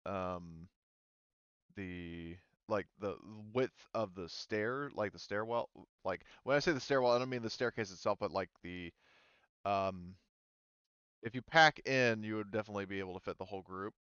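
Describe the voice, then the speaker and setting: Halting low-voiced man running a game. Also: a bit bright, smooth, no echo, lo-fi sound. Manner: halting, casually sweary. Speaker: game master of a tabletop roleplaying game. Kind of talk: improvised game narration, casual chat.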